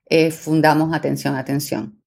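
Low-voiced middle-aged woman being interviewed. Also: little pitch movement, moderate pace, creaky, darkish tone. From born in Argentina, long settled in Puerto Rico. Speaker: podcast guest, foundation executive director. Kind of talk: conversational interview account.

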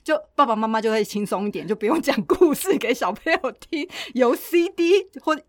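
A woman's voice: fairly high pitch, expressive, normal speed, dry recording, studio quality. A woman with a Taiwanese Mandarin accent co-hosting a casual podcast in a conversational, inquisitive way. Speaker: podcast co-host.

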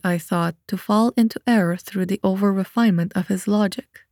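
A woman narrates a story in a scripted audiobook style. Her voice sits at a medium pitch and is recorded very dry, with no room sound. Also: warm tone, no echo.

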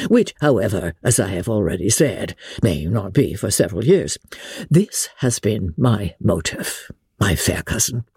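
A pompous, self-satisfied clergyman played by a woman holds forth in a classic novel reading. The delivery is husky and mellow, formal and ponderous, with grand rises and falls of pitch. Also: no echo, clean studio sound, heavily acted. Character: pompous, self-satisfied clergyman suitor, adult man, long-winded.

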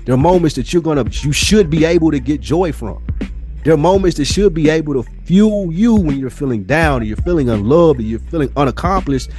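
A man's voice: a little high, a little fast, darkish tone, soft background music. An American man co-hosting a podcast, talking in an earnest, motivational way with casual, slangy phrasing. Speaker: podcast co-host.

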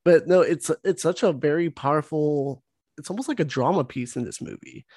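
A man's voice: a little high, moderate pace, darkish tone, no room sound, clean studio sound. A man hosting an interview, gushing about a film in rambling, casual speech full of "like". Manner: gushing, rambling, heavy use of "like". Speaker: interview host.

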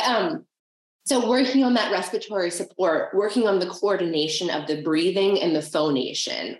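A woman speech therapist giving an interview, explaining things with enthusiasm, with vocal fry at the ends of her phrases. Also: on the low side, reverberant.

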